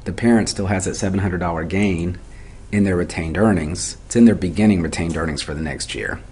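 A man with a very low voice delivers an instructional lecture. He works methodically through each step, asking questions and answering them himself. There is faint noise in the recording. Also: a little fast, mellow. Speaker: accounting instructor. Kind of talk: explanatory lecture for a video tutorial.

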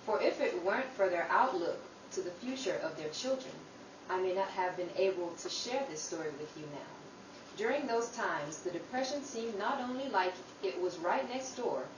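Woman as earnest youngest sister, radio drama, measured storytelling, medium pitch, distant echoey noisy recording. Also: animated, close to an everyday voice. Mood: earnest, reflective. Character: earnest, grateful youngest sister telling her Depression-era story. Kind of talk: old time radio drama acting. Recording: distant, echoey room with clear background noise.

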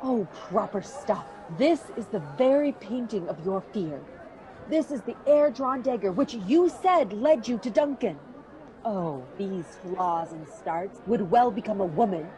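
A woman playing a scornful, controlling queen in a radio drama, with a sharp, scolding manner. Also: medium pitch, animated, unhurried, a faint crowd, natural voice, low-quality recording.

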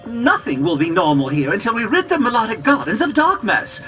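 A woman voicing a small floating robot guide in a video game, excitable, earnest and theatrical. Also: on the high side, fairly quick. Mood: earnest, rallying. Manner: theatrical, exclamatory. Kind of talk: video game cutscene acting. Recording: background game music.

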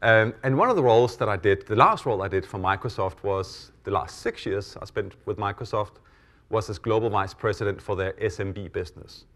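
A middle-aged man from Denmark with a Danish accent, speaking as host of a conference stage session in a relaxed, self-deprecating way, often pausing on "uh" and "um". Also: lowish voice. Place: conference hall.

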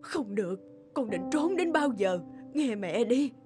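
A woman's voice: fairly high pitch, sing-song, measured pace. An actress playing an anguished, pleading mother in a cartoon, speaking emotionally. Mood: worried, pleading. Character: anguished, pleading mother of a hot-tempered son. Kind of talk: cartoon dubbing. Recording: clear music under the voice.

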